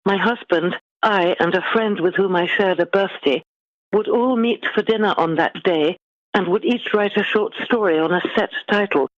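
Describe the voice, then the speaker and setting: A middle-aged woman guest author speaking in measured, formal, articulate phrases in a literary interview. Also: mid-range voice, no room sound. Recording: dull, lo-fi band-limited sound.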